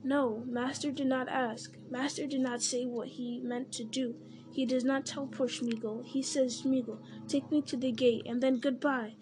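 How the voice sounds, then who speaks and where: A woman's voice: high voice, fairly even intonation, moderate pace, background noise, natural voice. A woman reading aloud and voicing a scrawny, fawning male creature who whines and pleads. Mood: wheedling. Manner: whining, pleading, speaks of himself by name.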